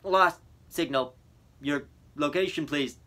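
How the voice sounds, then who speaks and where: A man's voice: fairly high pitch, slow pace, bright, forceful tone. A man reading an audiobook, voicing an adult male radio operator who speaks in clipped, formal radio procedure. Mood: procedural. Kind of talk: audiobook character voice.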